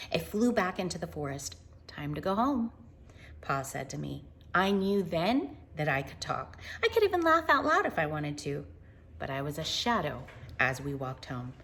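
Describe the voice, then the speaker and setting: A woman reading a picture book aloud to children in an expressive, wonder-filled way, with chatty asides and hushed moments. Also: fairly low pitch, slight background noise. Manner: animated, wonder-filled, addressing young listeners, dropping to hushed tones.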